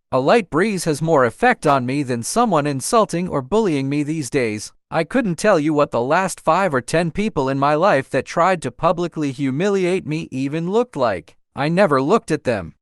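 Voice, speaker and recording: A man narrating read-out online stories in a steady, even read-aloud delivery. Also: on the high side, no echo, studio quality. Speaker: story narrator.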